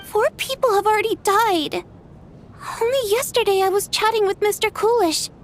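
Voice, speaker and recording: A woman's voice: extremely high pitch, normal speed. A woman playing an outspoken, earnest young girl who works at a theatre, in a video game. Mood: pleading. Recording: background music.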